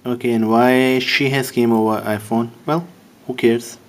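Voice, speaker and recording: A man's voice: mid-range voice, steady tone, unhurried, a little hiss or hum. A man with a Pakistani accent casually narrating a phone app demo, making amused comments on its answers. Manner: chatty, amused running commentary. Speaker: app demonstrator.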